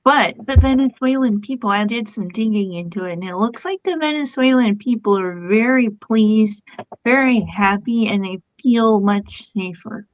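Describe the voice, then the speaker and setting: Outspoken woman, mid pitch, dead-dry recording, livestream host. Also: moderate pace, no room sound, low-quality recording. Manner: blunt, outspoken, swears casually. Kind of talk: casual chat.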